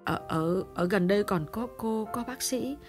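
A man voicing an injured, remorseful young woman who speaks softly and pleads. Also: high-pitched, moderate pace, over music, pitched above the performer's own voice, natural voice. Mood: pleading. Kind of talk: audiobook character voice.

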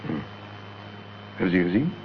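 A man's voice: fairly quick, lightly acted. A man acting a cool, determined amateur investigator in a Dutch radio crime drama, speaking tersely and matter-of-factly. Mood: wary, calm. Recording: dull, noisy old radio play recording.

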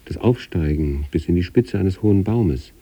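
An actor playing an introspective sanatorium patient, narrating eerie experiences in a measured, deliberate, literary radio style. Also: male voice, lively intonation, dry recording, full character voice. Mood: calm, absorbed. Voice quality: husky, dark low voice.

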